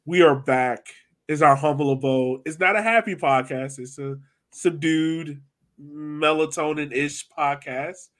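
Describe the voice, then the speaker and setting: A man's voice: a little high, measured pace. A man hosting a casual sports podcast, chatting playfully with his guests. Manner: playful, addresses guests as sir. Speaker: podcast host.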